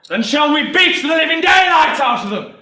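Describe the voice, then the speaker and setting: A man playing a rousing army sergeant major recruiting in a town square, with loud, declamatory oratory in a theatrical monologue. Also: sits high, animated, takes it slowly, heavily acted. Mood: fiery. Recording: roomy, distant microphone.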